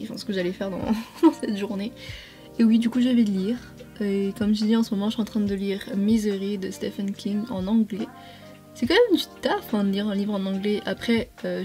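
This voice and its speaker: A woman's voice: mid-range voice, dark tone. A young woman chatting casually to followers like a vlogger, in an informal, slangy, rambling way with self-corrections. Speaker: beauty and lifestyle vlogger. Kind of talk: casual chat to followers while doing makeup.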